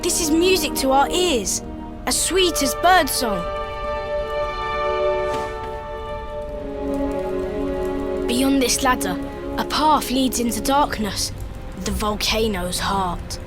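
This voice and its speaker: A woman voicing an earnest young village boy in a video game, speaking in formal, measured, poetic phrases. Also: sits high. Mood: solemn. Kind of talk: video game cutscene voice acting. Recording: loud music behind the voice.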